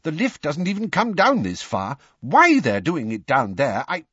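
A man voicing an anxious, hurried wizard father, agitated and breathless, in a storybook reading. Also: lowish voice, huge pitch swings, a bit bright, breathy edge, no echo, lo-fi sound, exaggerated voice. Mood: urgent, anxious.

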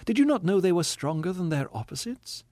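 Gentle pleading woman, soft and raised in pitch, as a male reader puts her on, dry sound. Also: big pitch swings, a little fast, dry recording. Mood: calm. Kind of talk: audiobook character reading.

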